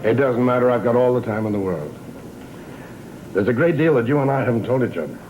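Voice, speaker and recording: An actor playing a weary, fatalistic old patriarch in a gothic soap opera, speaking in grave, ominous, theatrical phrases. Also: male voice, mid-range voice, expressive, fast talker, lo-fi sound, a little hiss or hum, natural voice. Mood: wistful. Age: older. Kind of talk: 1960s-70s gothic soap opera acting. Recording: old TV soundtrack with background music.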